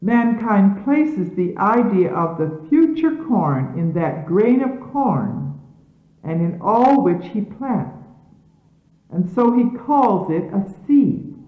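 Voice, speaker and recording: A woman reading scripture as a solemn sermon in measured, emphatic, formal diction, on an archival recording from the 1970s. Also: medium pitch, sing-song. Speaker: religious leader reading scripture aloud. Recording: phone-like, echoey 1970s archival tape.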